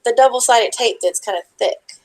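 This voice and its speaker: A woman's voice: on the high side, moderate pace, a little mellow. A woman in a casual live chat, chiming in with short, supportive replies.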